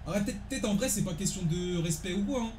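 Slangy young man, rather high voice. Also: fast talker, a little mellow, reverberant, slight background noise. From France. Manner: slangy, informal, talking to viewers. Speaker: streamer playing a football video game. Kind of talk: casual live gaming chat with slang. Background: football video game audio and commentary.

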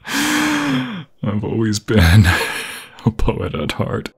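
Man acting a breathy, low-voiced, lovestruck vampire fiancé. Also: wildly swooping pitch, exaggerated voice. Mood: adoring, emotional. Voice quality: breathy, husky, often whispering. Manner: halting, sentimental, flowery romantic phrasing. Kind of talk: intimate ASMR boyfriend roleplay.

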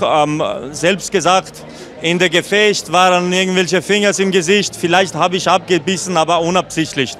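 Guy in his 30s, post-fight interview, rambly and blunt, kinda high voice, handheld mic. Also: a bit bright. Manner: rambling, blunt, restarts and mixes in English. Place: event venue backdrop, indoors.

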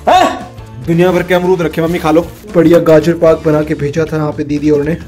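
A man's voice: fairly high pitch, little pitch movement, fairly quick, mellow, faint music behind. A young man in his twenties chatting playfully on a casual family vlog, filming himself with a phone at arm's length in a small bedroom. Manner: playful, teasing, laughs often. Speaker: vlogger. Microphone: phone camera at arm's length.

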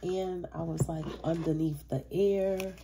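A woman with a very low, stuffy, congested voice gives a laid-back tarot reading to her viewers. She talks in loose, slangy and blunt terms, cursing freely. A clear layer of background noise runs under the recording. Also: steady tone, unhurried. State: stuffy from allergies and a cold. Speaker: tarot reader.

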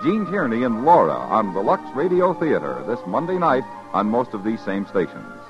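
A man's voice: lowish voice, wildly swooping pitch, moderate pace, over music. An American man announcing formally from a script in declamatory 1950s radio style. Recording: dull 1950s radio recording.

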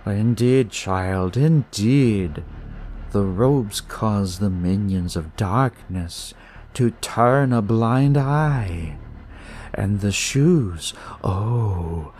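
A man voicing a smug young devil-cult leader in an audio story, with drawn-out, ceremonious pronouncements. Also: fairly low pitch, lively intonation, darkish tone, slight background noise. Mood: ominous, grandiose. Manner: slow, drawn-out, ritual pronouncements.

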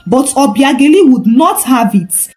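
A woman's voice: high voice, lively intonation, unhurried, a little mellow. A woman telling a scripted folktale as narrator. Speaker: storyteller and channel host.

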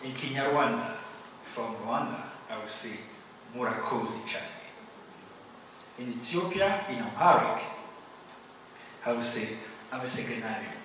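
A man from Cameroon, a native Yemba speaker, giving an earnest, grateful award acceptance speech with measured pauses. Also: medium pitch, animated. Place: large hall. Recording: echoey hall, distant mic, noisy.

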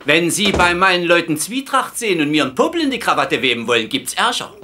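An actor playing a pompous, exasperated, blustering middle-aged German camp commandant in a slangy comic sitcom dub, with a whining, theatrical manner. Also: male voice, high-pitched, very animated, quick pace, bright, forceful tone, slight background noise, exaggerated voice. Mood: grumbling.

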